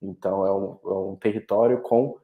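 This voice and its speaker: A man's voice: low voice, steady tone, normal speed, creaky, echoey room, narrow, tinny audio. A man with a Carioca accent giving an informative online presentation over a video call, often restarting his sentences. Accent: Carioca Brazilian Portuguese. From Rio de Janeiro, Brazil. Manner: informative, with frequent restarts and fillers. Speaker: international education representative.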